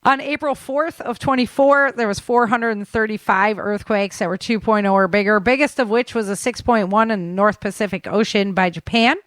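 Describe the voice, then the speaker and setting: A woman's voice: medium pitch, normal speed, hardly any pauses, fairly bright tone, no room sound. A woman hosting a talk show, running through news updates in a chatty, informal way. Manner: chatty, informal, addresses listeners as guys. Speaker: show host.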